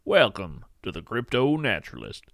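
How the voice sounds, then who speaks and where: Man playing a folksy, expressive nature storyteller. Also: fairly low pitch, creaky, over loud music, exaggerated voice. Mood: folksy, welcoming. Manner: folksy, lyrical, unhurried storytelling. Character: folksy, wonder-struck male nature explorer and cryptid hunter. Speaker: podcast host character. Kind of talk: scripted narration with poetry reading.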